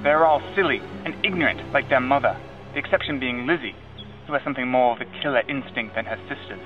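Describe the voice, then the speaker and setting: A man reading an audiobook and voicing a dry, sarcastic older country gentleman with a stern, sardonic manner. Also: medium pitch, quick pace, close to an everyday voice. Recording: lo-fi with music behind.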